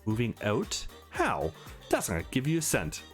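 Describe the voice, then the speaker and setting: A man's voice: mid-range voice, huge pitch swings, moderate pace, soft background music. Man putting on sneering young bully. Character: sneering, foul-mouthed young male bully. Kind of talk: visual novel read-aloud.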